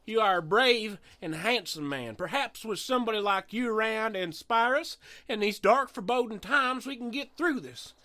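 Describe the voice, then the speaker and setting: A fickle, easily rattled pig farmer. The voice is extremely high and bright, swinging up and down in pitch. It is played for comedy in a western audio drama. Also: male voice, lively intonation, normal speed, few pauses. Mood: mock earnest.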